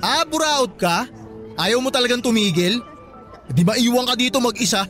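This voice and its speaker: A man's voice: extremely high pitch, animated, moderate pace, fairly bright tone, soft background music. An actor playing a sarcastic, moody young boyfriend who mocks and mimics his partner. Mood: irritated. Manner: mocking, mimics her words.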